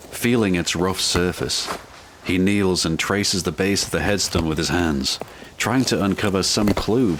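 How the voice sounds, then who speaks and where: A man giving measured, solemn scripted narration for an audio drama. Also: deep voice, a bit bright, slight background noise.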